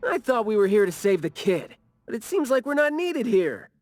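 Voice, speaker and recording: An actor voicing a calm, thoughtful male investigator in a video game, explaining things plainly. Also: sits high, normal speed.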